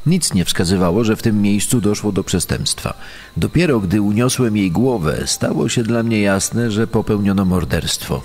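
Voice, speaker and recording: Guy playing troubled cop giving testimony, lowish animated voice, big echo on it. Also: normal speed. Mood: grave. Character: troubled male police officer recalling a murder scene. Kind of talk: dramatized witness testimony. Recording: long hall-like reverb.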